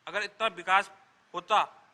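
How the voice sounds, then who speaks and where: A man's voice: sits high, fairly even intonation, unhurried, sharp tone. A man making an emphatic, argumentative political case point by point, as an opposition supporter. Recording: busy crowd around him.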